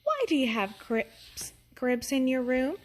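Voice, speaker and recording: A woman's voice: sits high, big pitch swings, takes it slowly, darkish tone, a little hiss or hum, exaggerated voice. A woman voicing a curious, eager little boy tiger in a children's storybook, with a wondering, childlike manner. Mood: helpful, curious.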